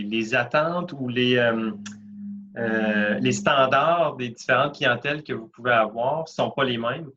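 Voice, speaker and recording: A man with a Québécois French accent hosts a relaxed interview. He agrees with his guest and restates her points, hesitating now and then. His voice is bright and forward, and the audio sounds dull and lo-fi. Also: mid-range voice. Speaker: host. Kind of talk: casual interview chat.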